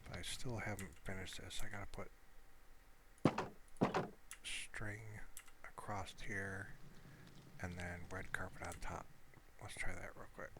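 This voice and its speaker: A man giving quiet gameplay commentary in a hushed, often half-whispered voice, muttering to himself in short, halting remarks. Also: low-pitched, flat delivery. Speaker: gamer recording gameplay. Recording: clear background noise with clicking.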